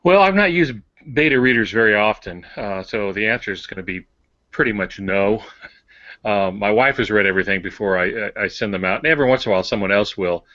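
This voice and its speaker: Low-voiced older man, hesitant and plainspoken. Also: fairly quick, bright, forceful tone, no echo, muffled recording. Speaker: podcast co-host and published author. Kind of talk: casual conversation.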